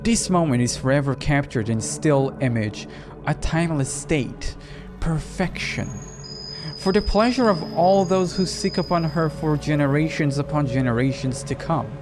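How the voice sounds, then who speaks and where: A man voicing a mysterious, courtly art dealer in a visual novel, speaking formally and slowly with theatrical reverence. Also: a little high, close to an everyday voice. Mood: eerie, reverent. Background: music behind the voice.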